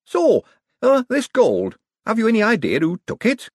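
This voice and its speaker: A man's voice: high-pitched, wildly swooping pitch, moderate pace, no echo, clean studio sound, voice pushed up, full character voice. A man voicing an anxious, fragile, manic banker in an audiobook, quavering, flustered and repeating himself. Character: anxious, fragile, manic male banking eccentric.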